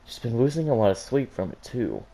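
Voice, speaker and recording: A man's voice: mid-range voice, big pitch swings, fairly quick, gravelly, dark tone. A man voicing a pensive, reserved young woman from a story, reasoning to herself about her feelings. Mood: puzzled, brooding.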